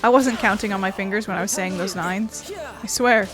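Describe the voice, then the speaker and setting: A woman's voice: medium pitch, fairly quick, music in the background. A woman doing casual, playful, rambling commentary as she plays a video game. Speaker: gaming video host.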